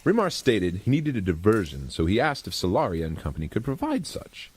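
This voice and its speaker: A man's voice: fairly low pitch, huge pitch swings, brisk, a little mellow, husky. A man giving scripted, dramatic narration for an audio story. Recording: faint background noise.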